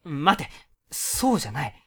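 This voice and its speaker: An actor playing an exasperated character trapped in a dark room, with blustery, incredulous retorts in a comedy radio drama. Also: medium pitch, sing-song, normal speed, husky. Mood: doubtful.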